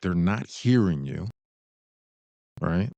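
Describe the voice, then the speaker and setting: A man with a very deep voice talks as a podcast co-host. His manner is reflective and casual, laying out advice through rhetorical questions. The sound is dry and close. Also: expressive, slow, gravelly, dark tone, no echo, dull audio. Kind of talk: casual discussion of relationship advice.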